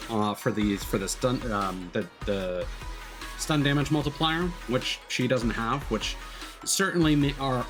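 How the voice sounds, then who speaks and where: A man streaming a video game, talking casually and explaining things in a halting, analytical way. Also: mid-range voice, a bit bright. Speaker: streamer, host of a gaming live stream. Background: background music.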